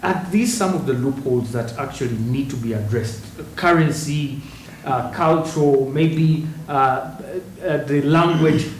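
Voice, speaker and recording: A man leads a studio panel discussion in a relaxed, conversational way, often doubling his words as he starts a thought. He sits a little back from the mic in a roomy studio with faint hiss behind him. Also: medium pitch, measured pace, distant mic. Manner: conversational, repeats words when starting a point. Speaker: TV talk show host.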